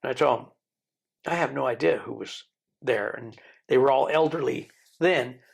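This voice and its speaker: Gravelly older man with mixed accent. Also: mid-range voice, moderate pace. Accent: American-tinged Birmingham English. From Isle of Man. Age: 60s. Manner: rambling, joking, self-deprecating. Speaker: interview guest. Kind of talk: storytelling interview.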